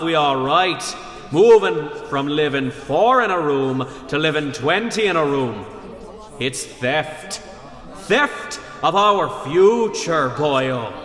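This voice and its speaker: A fiery working-class rabble-rouser delivers a radio drama speech in a reverberant hall. His voice is bright and forceful, swinging widely as he throws out rhetorical questions to rally the poor. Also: a little high, exaggerated voice. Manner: impassioned oratory, rhetorical questions. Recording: echoey hall with long reverb.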